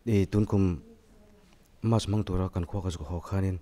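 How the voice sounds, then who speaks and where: A man's voice: sits low, fairly even intonation, moderate pace, dark tone. A man, giving casual interview answers as a contestant. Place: indoor lounge. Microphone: handheld microphone held by host.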